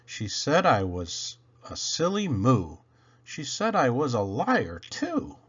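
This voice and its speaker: A man reading children's poems aloud in a theatrical, playful way, with dramatic emphasis and pauses. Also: mid-range voice, wildly swooping pitch, husky, highs cut off. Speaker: reader of children's poems for a read-aloud channel.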